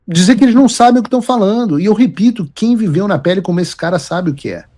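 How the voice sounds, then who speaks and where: A man's voice: sits high, lively intonation, quick pace, mellow. A man from Brazil giving emphatic, lecturing political commentary as host of his show. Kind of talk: opinion commentary.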